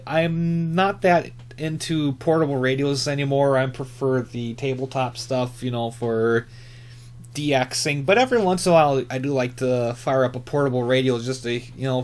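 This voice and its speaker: A man giving casual, unscripted commentary as a hobbyist channel host, rambling and haltingly correcting himself as he goes. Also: medium pitch.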